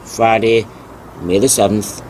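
A man with a fairly low voice reads old newspaper articles aloud and adds plain commentary. His delivery is deliberate, and he repeats key phrases. A faint background noise runs under the voice. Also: fairly even intonation, darkish tone, rough recording. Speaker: video narrator.

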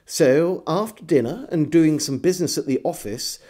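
Animated, mellow English male storyteller. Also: a little high. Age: middle-aged. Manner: measured, theatrical storyteller delivery. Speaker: storyteller and host. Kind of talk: dramatic literary reading of a historical diary. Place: book-lined room at home, indoors.

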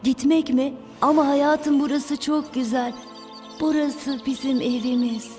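A woman's voice: high voice, steady tone, measured pace, darkish tone. A woman voicing a dazed, blissfully contented mother in a cartoon dub. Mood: dreamy. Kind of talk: animated series dub acting. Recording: faint music behind voice.

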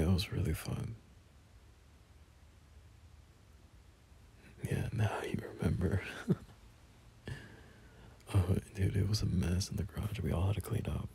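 A young man playing a teasing, bashful male friend in an intimate, casual romance audio roleplay, soft-spoken and halting. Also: low-pitched, gravelly. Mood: amused, reminiscing. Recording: noticeable background noise.

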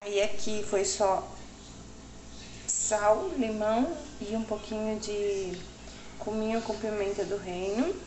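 A woman's voice: mid-range voice, takes it slowly, over music. A woman in her thirties casually talking viewers through her home cooking, step by step, in a small tiled kitchen. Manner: chatty, explains each step to viewers. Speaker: cooking vlogger. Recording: echoey tiled kitchen with clattering pans.

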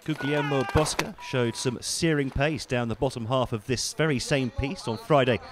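A man giving polished, scripted voice-over commentary on ski race highlights. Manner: measured, polished broadcast delivery. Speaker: sports commentator.

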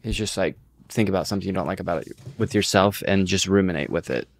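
A man's voice: low voice, fast. A young man co-hosting a podcast in casual comedic chat, joking with plenty of slang and swearing. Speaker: podcast co-host.

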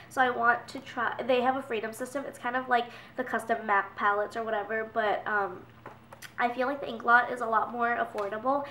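A young woman with a cold sounds congested as she rambles on, dropping plenty of fillers. Her voice sits a little high. The camera mic hangs back from her, so the bedroom adds an echo. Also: fairly bright tone, distant mic. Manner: chatty, rambling with many fillers. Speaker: beauty vlogger. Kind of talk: casual talk to camera. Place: small bedroom indoors. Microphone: camera mic at a distance. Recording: roomy, from off the mic.

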